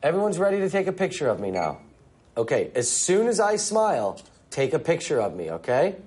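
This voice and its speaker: A man's voice: on the high side, huge pitch swings, moderate pace, a little mellow, a little hiss or hum. A man hosting a video test, upbeat and instructing a group. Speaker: video host. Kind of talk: hosted video segment.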